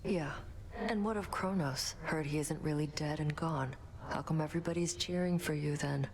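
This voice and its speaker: A woman playing a gruff, suspicious warrior goddess in a video game, terse and blunt. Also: deep voice, moderate pace, darkish tone. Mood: brooding, skeptical. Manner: terse, blunt, clipped phrasing. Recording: noisy ambient background.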